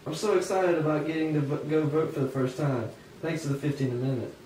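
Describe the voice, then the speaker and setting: Man playing an earnest freed man, high-pitched. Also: quick pace, dark tone, close to an everyday voice. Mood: eager, earnest. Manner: emphatic, indignant. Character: earnest, defiant freed man seeking equal rights. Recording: roomy, distant, noisy amateur recording.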